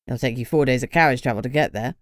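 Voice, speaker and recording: A gruff, stern male vampire , as a woman reading the story puts him on. The voice is pushed very low and sounds blunt and matter-of-fact. It is recorded close and dry, with no room around it. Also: quick pace, dry recording.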